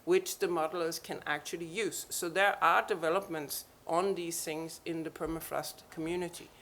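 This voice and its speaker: A woman's voice: fairly low pitch, steady tone, normal speed, a bit bright. Woman answering a panel question.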